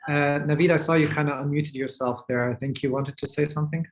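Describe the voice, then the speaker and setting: A man's voice: a little high, steady tone, quick pace. A man moderating an online panel, handing over between speakers. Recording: noisy video call audio with clicks.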